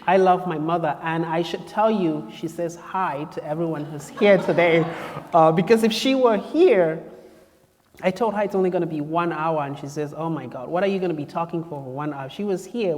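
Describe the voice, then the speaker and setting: A man's voice: on the high side, normal speed, in a large hall. A man from Cameroon, a guest speaker telling his story to a campus audience, chatty and playful. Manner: chatty and playful, often laughing. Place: campus lecture hall.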